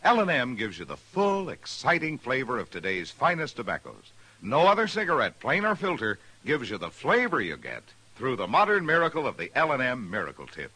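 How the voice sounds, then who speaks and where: A male announcer delivers a vintage fifties radio commercial with punch. His forceful, slightly husky voice swings dramatically in pitch. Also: wildly swooping pitch, brisk. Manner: punchy, emphatic selling. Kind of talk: 1950s radio ad read.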